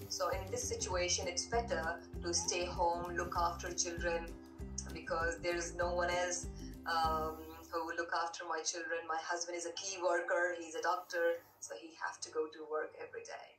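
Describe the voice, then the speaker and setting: A woman from Pakistan with a Pakistani English accent, chatting at length as an online English tutor, full of fillers. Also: lowish voice. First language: not English. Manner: chatty, long rambling answers with many fillers. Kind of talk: casual conversation lesson over video call. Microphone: tablet video call. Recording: echoey distant video-call audio with music.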